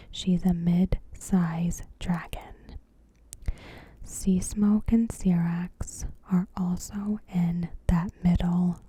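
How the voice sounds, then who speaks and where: A woman ASMR host giving commentary in a hushed, whispery, breathy voice, in measured short phrases. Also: lowish voice. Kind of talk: ASMR, softly spoken TV episode commentary.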